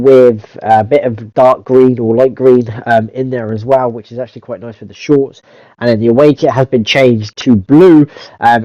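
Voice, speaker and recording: A man's voice: medium pitch, mellow, no room sound, dull audio. A man with a cold, chatting through football game commentary for his channel, rambling with lots of hesitant fillers. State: stuffy-nosed from a cold. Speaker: gaming YouTuber. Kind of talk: casual gameplay commentary.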